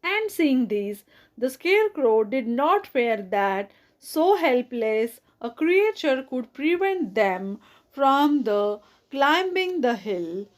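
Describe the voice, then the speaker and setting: A woman reading a children's story aloud in an expressive storytelling manner. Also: sits high, slow pace. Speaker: audiobook narrator.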